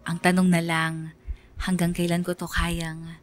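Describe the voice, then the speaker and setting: Woman playing a low-voiced, confiding young woman. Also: completely flat delivery, measured pace, lightly acted. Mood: reflective, hopeful. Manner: confiding, reflective. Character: reflective young woman in love, confessing her pretense. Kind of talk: first-person radio drama narration.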